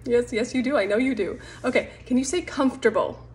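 A woman in her thirties chatting playfully and gently with her pets, asking indulgent questions in a home comedy skit. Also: a little high, brisk, a little hiss or hum. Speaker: pet owner, video creator. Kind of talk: playful chat with pets in short comedy skits.

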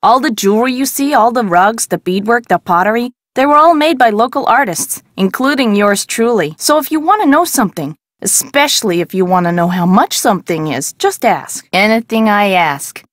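A woman's voice: fairly high pitch, animated, normal speed, few pauses, dry recording, studio quality, natural voice. An actress playing a friendly middle-aged woman who runs a desert craft shop in a video game, talking in a folksy, conversational way. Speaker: shop owner character in an adventure game. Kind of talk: scripted video game dialogue.

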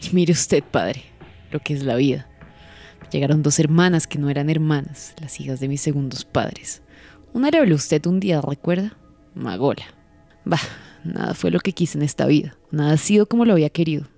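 An actress playing a cold, vengeful Colombian señora in a radio drama, taunting and deliberate in the way she talks. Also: low voice, expressive, gravelly, mellow, soft background music, full character voice. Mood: cold, menacing. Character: cold, vengeful señora savouring her long-awaited revenge.

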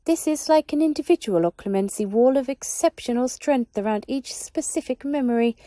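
A woman voicing a nervous small male wizard who stammers in a high, anxious voice. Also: moderate pace, dark tone, dry recording, clean studio sound, natural voice.

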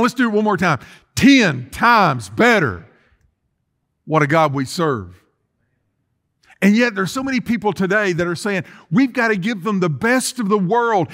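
A man's voice: high voice, fairly bright tone. A middle-aged male pastor preaching in a church sanctuary, reading scripture and calling on the congregation to repeat after him. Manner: preaching with call-and-response, reading scripture aloud. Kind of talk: sermon. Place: church sanctuary with empty chairs.

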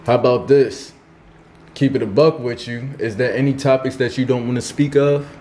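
A man's voice: medium pitch, steady tone, moderate pace, dark tone, slight background noise. A man interviewing a guest, asking questions in an easygoing, slang-filled way.

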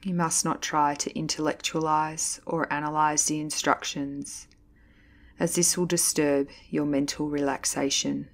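A woman leading a guided yoga nidra meditation as an instructor, reading calmly and deliberately with measured pauses. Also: sits low. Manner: calm, soothing, slow, deliberate pauses. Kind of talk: guided meditation, scripted narration.

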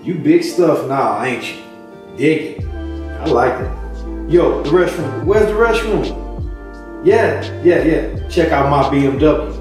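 Boastful streetwise American man, played by a male actor in a play reading. Unhurried, very echoey. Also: on the high side, lively intonation. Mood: boastful, teasing. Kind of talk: stage play table read. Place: meeting room around a table. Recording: echoey room from far off, music over.

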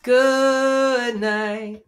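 A man in his thirties leading young children in songs with hand signs, gentle and encouraging, recorded on a webcam in a small room at home. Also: extremely high pitch, steady tone. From Maine, US. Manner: gentle, encouraging, slow sing-along. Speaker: children's music teacher. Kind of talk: singing children's songs with sign language. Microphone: webcam at desk distance.

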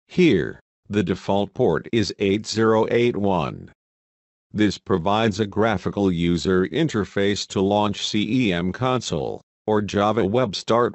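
Male tutorial narrator, lower register, measured scripted instructional read, dry close recording. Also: a little mellow, dry recording.

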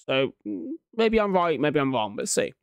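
A man's voice: medium pitch, very animated, normal speed, clear, resonant tone. A young man giving casual reaction commentary in slangy, sweary language, recorded close on a desk microphone at home. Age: young adult. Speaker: reaction video host. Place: small room at home, indoors. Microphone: large desk microphone, close up.

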